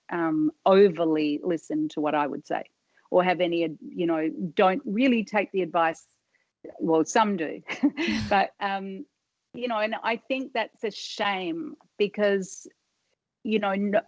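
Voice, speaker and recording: A middle-aged woman talks through her story as a podcast guest. She rambles, breaks off mid-sentence and leans on fillers. Her voice sits at a middle pitch in a dry, slightly muffled recording. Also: no echo. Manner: rambling, self-interrupting, many fillers. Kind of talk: casual interview storytelling.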